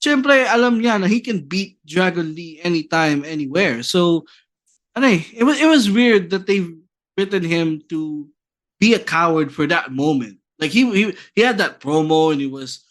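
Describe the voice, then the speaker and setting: A man's voice: on the high side, lively intonation, moderate pace, a bit bright. A man with a Filipino accent co-hosting a podcast, chatting in mixed English and Tagalog and building his point step by step. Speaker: podcast co-host. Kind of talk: casual chat mixing English and Tagalog.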